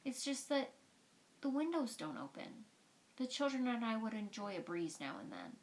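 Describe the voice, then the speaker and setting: Woman reading as kindly, wistful schoolteacher, lifted pitch. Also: sing-song, normal speed. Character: kindly, wistful female schoolteacher with a teasing streak. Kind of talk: storybook read-aloud.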